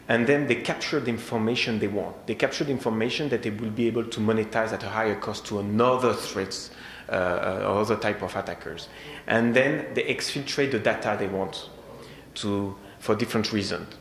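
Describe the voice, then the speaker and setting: A man with a strong French accent giving a conference presentation, halting, with many fillers and repeated words. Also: mid-range voice. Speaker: conference speaker. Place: conference hall. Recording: echoey hall with faint noise.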